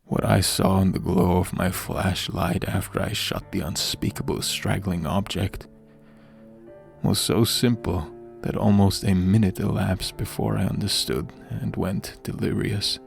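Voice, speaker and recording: A man's voice: deep voice, fairly even intonation, measured pace, creaky. A man reading a horror story as a dramatic narrator, grave and theatrical, in the manner of an audiobook. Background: background music.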